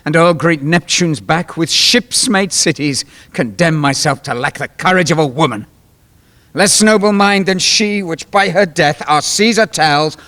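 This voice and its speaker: Man playing fallen Roman general, wildly swinging pitch. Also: bright, forceful tone. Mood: anguished, ashamed. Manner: declamatory, grave, commanding. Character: Antony, proud disgraced Roman general resolved to die. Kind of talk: classical Shakespearean stage acting.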